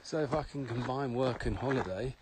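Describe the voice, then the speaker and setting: A man's voice: medium pitch, fairly quick. A man co-hosting a podcast in casual conversation, speaking in an opinionated, emphatic way with repeated phrases. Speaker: podcast co-host. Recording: clearly noisy background with clicks.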